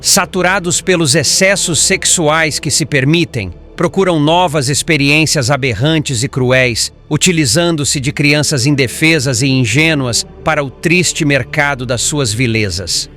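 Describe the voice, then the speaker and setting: A man voicing a patient, solemn, learned spirit instructor in formal, measured audiobook narration. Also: fairly high pitch, fairly bright tone, faint music behind. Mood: solemn, grave.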